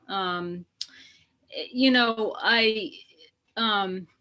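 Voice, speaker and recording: A woman from the US East Coast, an artist talking informally and reflectively about her own work in a halting way. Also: medium pitch, sharp tone.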